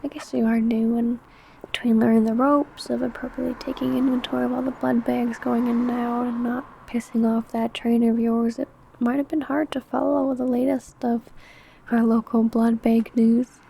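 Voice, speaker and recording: Girl doing a sly vampire coworker asmr, soft mellow hushed voice, kinda flat and coy, bit of background noise. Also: on the high side, moderate pace, vocal fry, low-quality recording. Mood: casual, gossipy. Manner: hushed, chatty, coy, drifting into whispers. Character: secretive female vampire coworker, older senior, sly and possessive. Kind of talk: ASMR roleplay addressed to the listener.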